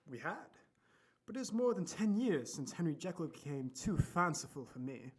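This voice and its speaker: A hearty older gentleman doctor acted by a man for an audio drama. His husky voice is very lively in pitch, and he speaks with emphatic, opinionated dismissiveness. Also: moderate pace. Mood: wry, curt.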